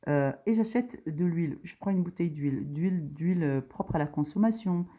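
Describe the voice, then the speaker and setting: A woman's voice: fairly low pitch, brisk, warm tone, highs cut off. A woman giving an informal religious lesson, explaining step by step with frequent hesitations. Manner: hesitant, many fillers, rising emphasis on key points. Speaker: religious teacher. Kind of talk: informal tutorial explaining a diagram.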